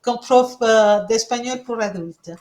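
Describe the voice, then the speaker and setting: A woman from Mexico talks on a video call in a fairly high voice. She sounds friendly and laughs easily as she speaks. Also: a little slow, darkish tone, a little hiss or hum. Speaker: Spanish teacher.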